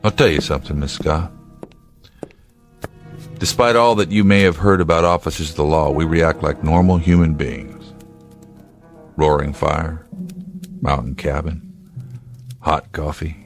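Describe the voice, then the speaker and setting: An actor playing a steady, dryly wry state trooper in a naturalistic radio drama, speaking in a measured, laconic way. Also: male voice, rumbling low, faint music behind. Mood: wry, reassuring. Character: gruff, steady male state trooper, wryly humorous, protective.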